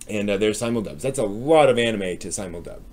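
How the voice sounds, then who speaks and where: Man, American accent, lowish pitch, live stream host, casual news rundown, hesitant, halting, thinking aloud. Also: expressive, darkish tone. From United States.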